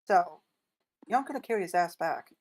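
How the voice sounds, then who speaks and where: A woman's voice: lowish voice, expressive, fairly quick, a little mellow. A woman playing a blunt, wary survivor who wants to flee, in an emphatic, colloquial screenplay table read. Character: blunt, wary armed partner, pleading to flee.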